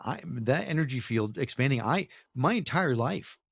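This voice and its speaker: A man from Connecticut hosts a podcast interview, enthusiastic and effusive, reacting with emphatic wonder to what he hears. His voice is at a middle pitch for a man. The recording is dry and close. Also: lively intonation, normal speed, gravelly, breathy edge, dry recording. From Connecticut, USA. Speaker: podcast host.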